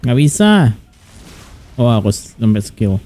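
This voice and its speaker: A man's voice: medium pitch, very animated, unhurried, warm tone. A man with an Indonesian accent giving casual live commentary as he plays a video game. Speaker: game streamer.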